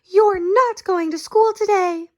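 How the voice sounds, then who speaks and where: A woman voicing an anxious, flustered mother in a picture book read-aloud, with shrill, alarmed exclamations. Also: fairly even intonation, takes it slowly, darkish tone. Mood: stern.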